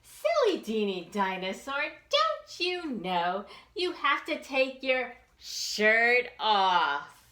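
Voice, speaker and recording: A woman's voice: on the high side, sing-song, drawn-out words, sharp tone, reverberant, pitched above the performer's own voice, full character voice. A woman voicing a loving, fussing mother dinosaur in a children's storybook, with exclaiming, sing-song scolding. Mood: playful scolding. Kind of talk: children's storybook read-aloud.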